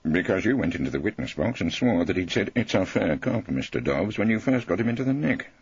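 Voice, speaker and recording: A man's voice: sits low, big pitch swings, fairly quick, husky, no room sound, muffled recording, pitched above the performer's own voice, exaggerated voice. A man playing an irreverent, witty old male barrister with a wry, florid, mock-courteous manner. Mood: teasing.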